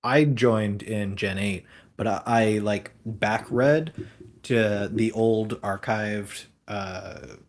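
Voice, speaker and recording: Man, slight lisp, somewhat low pitch, worn voice, casual stream chat, self-deprecating. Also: extremely slow, slight background noise. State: voice worn from talking. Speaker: guest.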